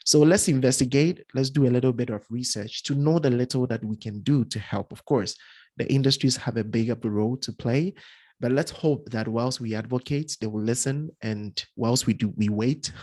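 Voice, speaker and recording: A man's voice: medium pitch, normal speed, a little mellow, no room sound. A young adult man from Ghana, speaking as an interview guest in an earnest, casual way, often repeating words and laughing lightly. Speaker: guest, environmental engineer.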